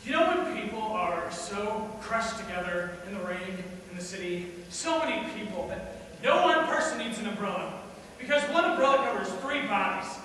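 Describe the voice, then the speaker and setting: High-pitched male voice, actor as cynical dead organ broker, theatrical stage monologue, emphatic and rhetorical, heard from far off in a very reverberant space. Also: fairly even intonation, moderate pace, hardly any pauses, a bit bright. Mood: exasperated. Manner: emphatic, rhetorical, swinging between musing and indignant outbursts. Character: self-justifying dead man, a cynical organ broker, reminiscing. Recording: distant, very echoey hall, clearly noisy.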